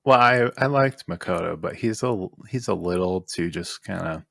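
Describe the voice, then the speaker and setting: Low, gravelly man chatting casually. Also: normal speed, fairly bright tone, no echo, clean studio sound. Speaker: podcast co-host. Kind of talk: casual group discussion.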